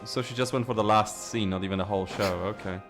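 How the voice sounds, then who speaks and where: A man's voice: fairly low pitch, steady tone, fast talker, music in the background. A man doing casual, slangy game playthrough commentary, reacting and repeating himself. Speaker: streamer playing a game.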